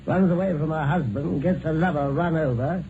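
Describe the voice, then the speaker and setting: An actor playing a jovially callous, domineering upper-class husband with a hearty, mocking manner in a 1950s radio drama. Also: male voice, a little high, moderate pace, close to an everyday voice. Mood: mocking amusement. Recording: noisy, tinny 1950s radio broadcast.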